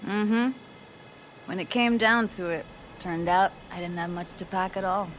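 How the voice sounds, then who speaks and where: An actress playing a wistful, uneasy young woman in a video game, speaking softly and hesitantly. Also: medium pitch, a little slow, slight background noise, close to an everyday voice, low-quality recording. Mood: relieved. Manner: hesitant, soft, reflective.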